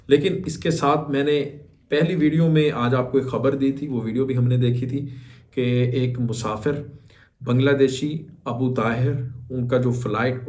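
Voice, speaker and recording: A man's voice: mid-range voice, darkish tone. A man from Pakistan hosting a news reaction video, lecturing in an indignant, emphatic way.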